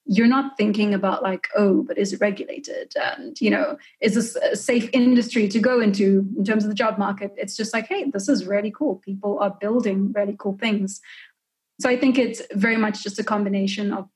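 A woman's voice: medium pitch, steady tone, normal speed, darkish tone, poor audio. Young South African woman, podcast guest. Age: early 20s. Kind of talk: casual interview conversation.

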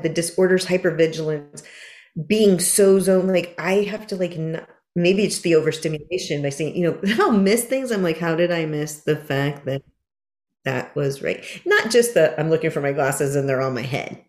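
A woman's voice: fairly low pitch, darkish tone, roomy sound. A woman in her fifties chatting casually, rambling and self-deprecating, acting out her own words when she quotes herself. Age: 50s. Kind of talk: casual chat.

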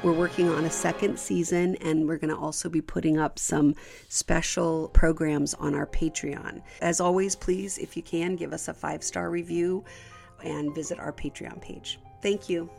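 A woman's voice: low-pitched, fairly even intonation, normal speed, creaky, a little mellow, soft background music. A woman hosting a podcast, giving informal thanks and announcements to listeners. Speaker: podcast creator and host.

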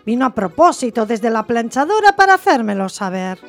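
A young gossip speaks in a high voice with very lively intonation. She is coy and evasive, excusing herself in theatrical radio-drama style. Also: a little fast, vocal fry, over loud music. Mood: defensive, coy.

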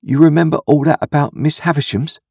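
An anxious, humble country boy acted by a man reading a storybook, confessing earnestly and miserably. The voice is lifted above the reader's own, a little husky, and very close and dry. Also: on the high side, brisk, darkish tone, no echo, voice pushed up. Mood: hesitant.

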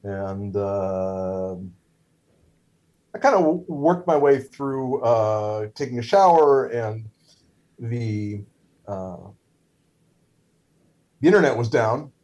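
A man's voice: mid-range voice, lively intonation, slow pace, long pauses, darkish tone. A middle-aged man whose first language is Hebrew, telling a story casually with many fillers, restarts and wry humor. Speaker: guest, stroke survivor.

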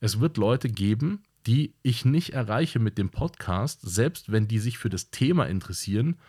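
A man's voice: lowish voice, lively intonation, moderate pace, a little mellow, slightly rough. A man hosting his own podcast, talking in a casual monologue that is blunt and direct and repeats points for emphasis. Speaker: podcast host.

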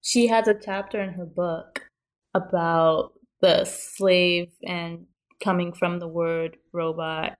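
A woman with a slightly low voice, talking as a guest in a casual discussion. Her delivery is very unhurried, leaving space between phrases. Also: level tone. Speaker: interview guest.

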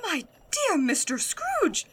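A woman's voice: extremely high pitch, wildly swooping pitch, slow pace, exaggerated voice. A woman playing an astonished, genteel Victorian charity lady in a radio play, breathlessly exclaiming. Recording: very noisy, clicky recording.

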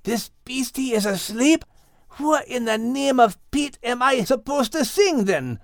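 A man's voice: very high voice, animated, measured pace, fairly bright tone, no room sound, clean studio sound, pitched above the performer's own voice, heavily acted. A man voicing a small, bold, protective Scottish dog with a commanding manner in an audiobook. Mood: bewildered. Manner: commanding, exclamatory. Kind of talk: audiobook character acting.

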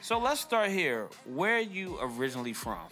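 A man's voice: fairly high pitch, very animated, moderate pace, bright, forceful tone, soft background music. A man hosting a radio show, interviewing a guest with upbeat, slangy, brief questions.

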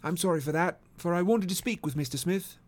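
A man voicing a shrewd Victorian gentleman detective with a genteel, smoothly coaxing manner in a story reading. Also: high voice, brisk, pitched above the performer's own voice, lightly acted. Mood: casual, probing.

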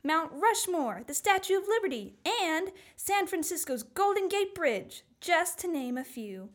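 A woman's voice: very high voice, very animated, measured pace, exaggerated voice. A woman playing a polished, enthusiastic announcer reading a vintage airline radio commercial.

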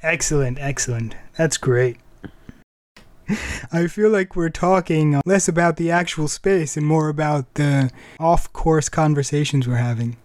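A man's voice: fairly high pitch, unhurried, darkish tone, no room sound. A man hosting a podcast, chatting casually about pop culture. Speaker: podcast host. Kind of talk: casual chat about anime music and games.

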